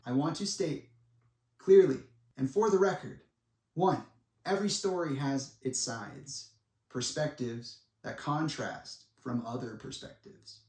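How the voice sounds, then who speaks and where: A man in his twenties giving a monologue to viewers in a dramatic, deliberate way, with pauses and blunt asides. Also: medium pitch, a little mellow. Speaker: speaker making a personal public statement. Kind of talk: semi-prepared monologue addressed to viewers. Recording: echoey room, distant microphone.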